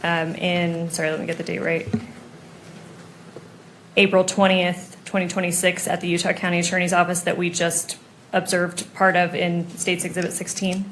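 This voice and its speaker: Even-toned American woman, formal attorney. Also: on the low side, normal speed. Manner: formal, methodical, precise. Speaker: prosecuting attorney examining a witness. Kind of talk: courtroom questioning. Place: courtroom. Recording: noisy courtroom audio.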